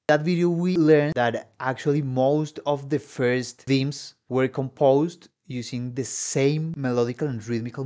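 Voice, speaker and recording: A man's voice: mid-range voice, a little mellow. A young man giving informal, exclamatory reaction commentary as a video host in a home room. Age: young adult. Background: music.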